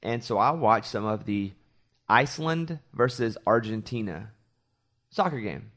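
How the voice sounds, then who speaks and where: A man's voice: lowish voice, animated, measured pace, a bit bright. Chatty rambling man hosting a show. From Arkansas. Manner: rambling, chatty storytelling with self-deprecating asides. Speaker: radio morning show host. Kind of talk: casual podcast chat.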